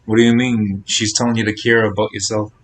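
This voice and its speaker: A man's voice: fairly low pitch, level tone, brisk, a little hiss or hum, low-quality recording. A man livestreaming a game, talking casually and bluntly as he hands out advice to his chat. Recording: game music faintly behind the voice. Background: game audio and music.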